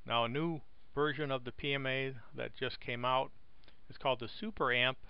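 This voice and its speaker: Man, mid pitch, gravelly and smooth, dry close mic, slightly dull lo-fi sound, relaxed plainspoken explainer. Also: dry recording. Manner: relaxed, plainspoken, with frequent "uh" pauses. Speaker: video host explaining wind turbines. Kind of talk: casual screen-recorded explainer.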